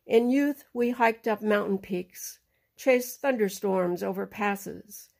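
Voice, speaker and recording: A middle-aged woman poet speaking in a personal, reading style, recorded in a quiet room at home. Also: medium pitch, slow pace, creaky. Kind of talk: personal introduction and poetry reading.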